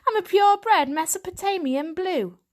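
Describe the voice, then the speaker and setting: An earnest, naive young male house cat, as a woman puts him on for a storybook, speaking high and eager. His lines come out bright and a little breathless with sincerity. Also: expressive, normal speed. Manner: earnest, eager, slightly proud.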